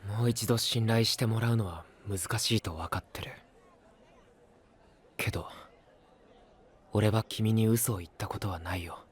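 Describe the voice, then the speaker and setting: A man voice-acting a calm, guarded, devoted young knight in an audio drama, quiet, measured and sincere. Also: on the low side, breathy edge. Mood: pained, sincere. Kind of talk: Japanese anime-style voice drama acting.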